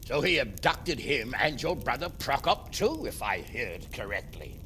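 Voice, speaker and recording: A man's voice: medium pitch, sing-song, measured pace, bright, forceful tone, slight background noise. A man playing a blunt, wry, impatient medieval lord in a video game, with a sardonic manner. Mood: sardonic, probing. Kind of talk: dramatic video game acting.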